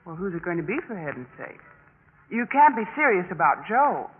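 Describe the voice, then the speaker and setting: An actress playing a bossy, snobbish young sorority leader in a 1950s radio drama, brisk and officious. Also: female voice, lowish voice, sing-song, breathy edge. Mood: exasperated. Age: young adult. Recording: tinny, noisy 1950s radio recording.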